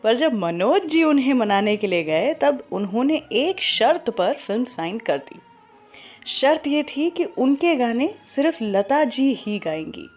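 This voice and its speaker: A woman's voice: a little high, sing-song, a little slow, darkish tone, soft background music. A woman with an Indian accent hosting a radio show, telling stories in a scripted style and slipping English phrases into her talk. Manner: Hindi mixed with frequent English phrases. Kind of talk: scripted radio storytelling and song introductions.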